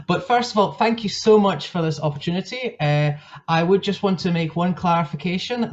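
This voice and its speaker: A young man with a northeast Scottish accent giving a chatty presentation on a video call, full of fillers and restarts. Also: on the high side. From northeast Scotland. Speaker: guest speaker. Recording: dull lo-fi call audio.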